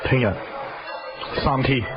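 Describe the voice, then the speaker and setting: An actor playing a grumbling, wisecracking pal in a radio drama, exclamatory and theatrical, with a Hong Kong Cantonese accent. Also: male voice, slow, a loud crowd, lightly acted. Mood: theatrical, joking. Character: grumbling, wisecracking male pal, a broke gambler. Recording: dull lo-fi radio audio with music.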